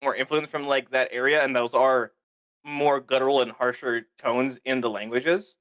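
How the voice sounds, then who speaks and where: A man's voice: mid-range voice, steady tone, normal speed, a bit bright. A young man on a stream, explaining things casually and fumbling for words. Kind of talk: casual chat.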